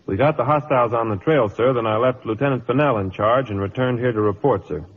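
An actor playing a dutiful, formal cavalry lieutenant in an old-time radio drama, reporting in a clipped, deferential way. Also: male voice, mid-range voice, quick pace, slightly rough, lightly acted. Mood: crisp, obedient. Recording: muffled old radio broadcast, noisy backdrop.

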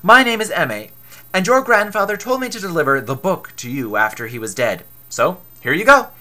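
Lady doing a little winged fairy guy, blunt n unbothered, bright voice, bit higher, storybook style. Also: big pitch swings, normal speed. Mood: breezy.

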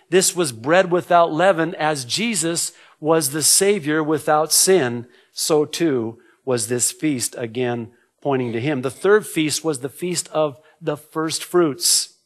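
Slow-paced male pastor, higher-pitched voice. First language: Arabic. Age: middle-aged. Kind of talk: sermon, Bible prophecy teaching. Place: indoor church, at a lectern. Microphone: gooseneck lectern microphone.

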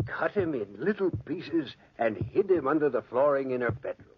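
An actor playing a sly, jovial older gentleman who collects crime stories, chatty and teasing in a 1940s radio drama. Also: mid-range voice, animated, normal speed, lightly acted. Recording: muffled old radio broadcast with faint noise.

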